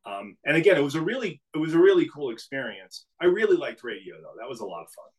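Middle-aged New England man, relaxed guest with a rambling, easygoing delivery. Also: fairly high pitch, quick pace. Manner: relaxed storytelling with frequent restarts. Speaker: podcast guest, business CEO. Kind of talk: casual interview conversation.

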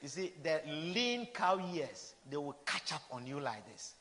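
A pastor in his fifties preaching a sermon, repeating his lines, calling out hallelujah, and swinging between loud declarations and quiet asides. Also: on the high side, sing-song, measured pace. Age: 50s. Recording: long reverb of a large hall.

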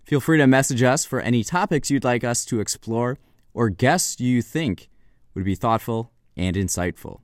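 A man hosting a podcast, introducing the guest and asking relaxed, friendly questions. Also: mid-range voice, normal speed, no room sound, studio quality. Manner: relaxed, friendly, some fillers. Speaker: podcast host.

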